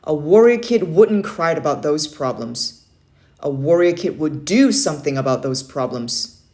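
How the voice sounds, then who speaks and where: A woman's voice: sits low, lively intonation, moderate pace, darkish tone. A woman voicing a stern, motivating young Navy uncle in a children's story read-aloud, firm and emphatic. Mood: stern, instructive.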